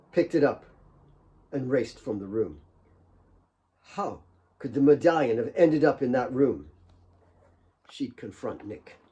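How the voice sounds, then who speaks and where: A man's voice: medium pitch, a little mellow, dull audio. A man reading his own fiction as a dramatic audiobook narrator.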